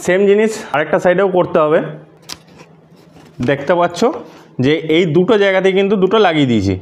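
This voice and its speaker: A man narrating a casual step-by-step tutorial, explaining things and mixing in English words. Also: high-pitched. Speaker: host of a motorcycle gear tutorial.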